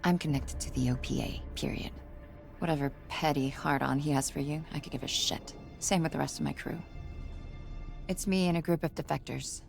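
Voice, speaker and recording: A woman's voice: low-pitched, brisk, creaky, soft background music. An actress voicing a tough young woman prisoner in a video game, curt and blunt, swearing freely. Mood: tense.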